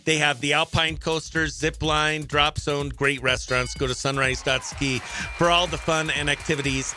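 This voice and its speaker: A man's voice: fairly high pitch, steady tone, moderate pace, sharp tone, faint music behind. A man hosting casual morning radio chat in an upbeat, effusive, chatty manner. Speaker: radio host; stand-up comedian. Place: radio studio.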